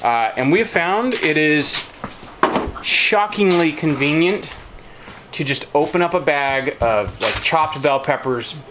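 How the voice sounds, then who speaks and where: A man's voice: fairly high pitch, expressive, takes it slowly, noisy background. A man in his thirties chatting casually to viewers while cooking in his home kitchen, joking and explaining as he goes. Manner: easygoing, jokey, explains to viewers. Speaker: homestead vlog co-host.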